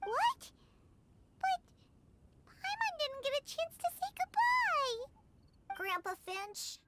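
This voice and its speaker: Squeaky, excitable little fairy girl. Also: takes it slowly, long reverb tail, loud music behind the voice, full character voice. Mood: upset. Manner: childlike, squeaky, exclamatory. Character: tiny excitable floating fairy girl companion. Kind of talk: anime game voice acting.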